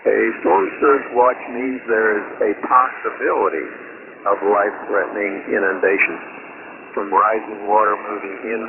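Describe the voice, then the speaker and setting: A man working as a ham radio operator, haltingly reading out an official weather bulletin over shortwave, with stumbles and self-corrections. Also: on the low side, fairly even intonation. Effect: shortwave ham radio transmission. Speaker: amateur radio operator relaying storm advisories. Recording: tinny, very noisy shortwave radio signal. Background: loud radio static and noise.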